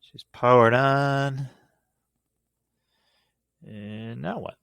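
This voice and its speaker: A man's voice: medium pitch, fairly even intonation, very slow, gravelly, fairly bright tone, smooth. A middle-aged man rambling casually through a product unboxing in a goofy, grumbling, mildly profane way. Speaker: unboxing video host.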